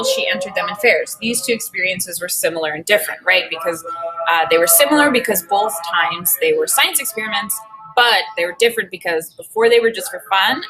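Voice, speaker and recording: A woman teacher reading a children's book aloud and pausing to think aloud about it. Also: on the low side, low-quality recording. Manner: reads with expressive emphasis, pauses to think aloud. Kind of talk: read-aloud lesson with think-aloud.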